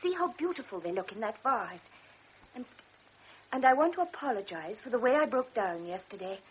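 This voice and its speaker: High, breathy young baroness in a vintage radio drama, proud, fragile, imperious. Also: female voice, very animated, a little fast. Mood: apologetic. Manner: impulsive, swings between shy apology and sharp commands. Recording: dull old radio recording.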